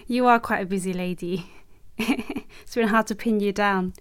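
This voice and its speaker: A woman hosting a podcast, who speaks gently and encouragingly and laughs easily while she guides a reflection and an interview. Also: mid-range voice, a little slow, clear, resonant tone, no echo, clean studio sound. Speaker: podcast host.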